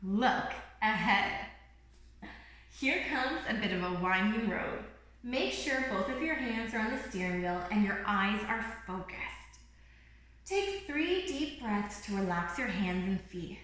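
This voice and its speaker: A woman's voice: mid-range voice, lively intonation, unhurried, a bit bright. A woman voicing a cheerful, encouraging little bird guide in a children's picture book, with a bubbly, reassuring manner. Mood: soothing, encouraging. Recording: roomy, echoing room sound.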